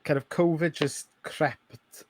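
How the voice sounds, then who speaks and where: A man from Wales with a North Welsh accent, Welsh his first language, a pastor speaking slowly and with many pauses in a podcast interview. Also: fairly high pitch, steady tone, no room sound. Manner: unhurried, hesitant with many ums.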